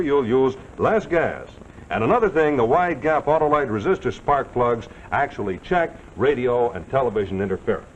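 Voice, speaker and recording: Animated American male announcer, vintage broadcast. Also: medium pitch. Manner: folksy, jovial, salesmanlike. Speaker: announcer and commercial pitchman. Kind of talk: folksy late-1940s television sponsor announcing. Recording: dull, noisy old 1940s soundtrack.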